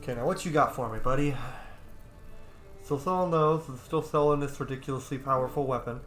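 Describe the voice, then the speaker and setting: A man's voice: mid-range voice, a little fast, a bit bright. A man giving casual gameplay commentary, muttering and talking to himself as he plays. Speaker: game streamer doing a playthrough. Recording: game music behind voice. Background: video game music.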